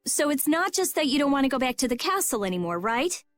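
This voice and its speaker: A woman voicing a blunt, skeptical teenage girl in an animated role-playing video game, dry and sarcastic. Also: high voice, fast talker, dry recording. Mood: sarcastic, impatient. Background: faint background music.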